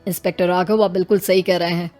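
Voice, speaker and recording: A woman playing a calm, authoritative senior police officer in an acted story. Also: on the low side, quick pace, faint music behind, close to an everyday voice. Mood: calm, official. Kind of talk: acted Hindi audio story dialogue.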